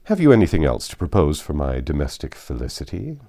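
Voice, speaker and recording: A man voicing a proud, reserved, courteous young gentleman in a storybook reading, with formal wording. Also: rumbling low, huge pitch swings, brisk, voice pushed down, heavily acted. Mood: dryly amused.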